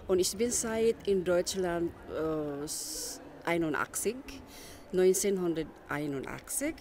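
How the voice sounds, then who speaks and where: An older woman in her sixties with a Filipino accent speaks very slowly and hesitantly, leaning on fillers as she goes. Her voice sits low for a woman. Faint noise hangs in the background. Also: lively intonation, a little mellow. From Philippines. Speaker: community president. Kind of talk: interview answers.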